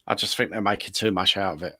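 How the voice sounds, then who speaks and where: A man's voice: sits low, fast talker, sharp tone, no room sound, clean studio sound. A man speaking as a talk show guest, giving opinions in casual, conversational chat.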